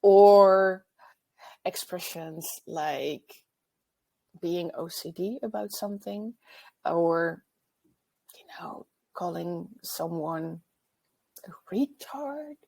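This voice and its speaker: A woman speaks earnestly on a discussion panel, slow and deliberate. Her voice is husky and pitched low for a woman. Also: a little mellow. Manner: earnest, stumbles on words.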